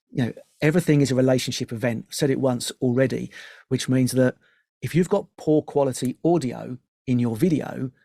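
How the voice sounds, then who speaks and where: Gravelly, mellow man giving advice. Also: medium pitch, no echo, clean studio sound. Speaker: podcast guest, audio and music professional. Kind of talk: conversational advice in an interview.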